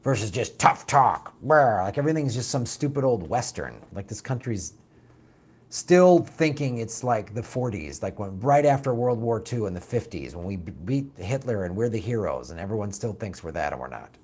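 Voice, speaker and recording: A raspy man hosting a political talk show, reading viewer comments and answering them bluntly in a casual, animated way. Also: on the low side, moderate pace. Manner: blunt, animated, mocks opponents with put-on quotes.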